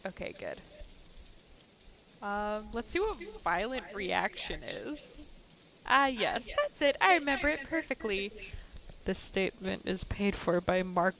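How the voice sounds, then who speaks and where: A woman hosting a game playthrough, reading a visual novel aloud with sarcastic, sweary, expressive commentary. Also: mid-range voice, unhurried, crowd noise, poor audio. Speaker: gaming video host. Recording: music behind the voice.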